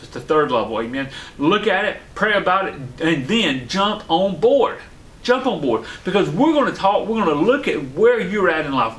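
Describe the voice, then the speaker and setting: A man's voice: fairly high pitch, a bit bright. A man in his forties, an online pastor preaching a sermon to camera, fervent and punctuating his lines with amen and hallelujah. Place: studio set with a dark backdrop, indoors. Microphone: fixed camera, seated at a medium distance. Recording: roomy studio echo.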